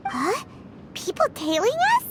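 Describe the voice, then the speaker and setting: A woman voicing a small, excitable childlike companion in a video game, with a squeaky, bouncy delivery. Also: measured pace, noisy background, exaggerated voice. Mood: surprised. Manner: exclamatory, bouncy. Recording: loud music behind the voice. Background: music.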